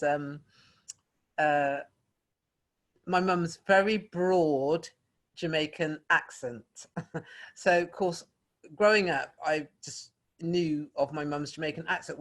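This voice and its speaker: A man's voice: fairly high pitch, fairly bright tone. A man from England, speaking reflectively in an oral history interview, with hesitations and restarts.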